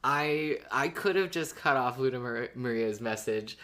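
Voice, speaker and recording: A woman's voice: extremely low pitch, unhurried, fairly bright tone. A woman co-hosting a casual podcast discussion, amused and feeling her way through her phrases. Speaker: podcast co-host. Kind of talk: casual fan discussion chat.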